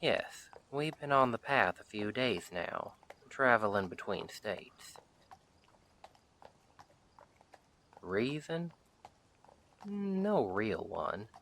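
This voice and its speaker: A low-voiced, easygoing frontier sheriff played by a man, drifting and dryly humorous. He speaks straight to the listener with folksy, lively swings in pitch. There is faint noise behind the voice. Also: full character voice. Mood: easygoing, reflective. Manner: folksy, unhurried, wry, chatty. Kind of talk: audio roleplay, speaking directly to the listener.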